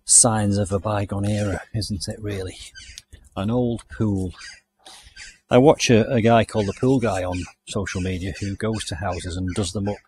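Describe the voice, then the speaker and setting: A man's voice: on the low side, fairly even intonation, normal speed, a little mellow, a little hiss or hum. A man from the UK giving a chatty, enthusiastic walking tour of a country garden outdoors, recorded on the camera he carries. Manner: chatty, enthusiastic, thinking aloud. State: hot and overdressed while walking. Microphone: handheld camera mic, walking.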